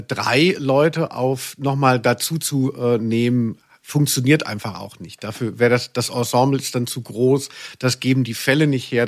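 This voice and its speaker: A man co-hosting a podcast, chatting casually about audio dramas in a playful, mock-serious way. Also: medium pitch. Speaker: podcast co-host.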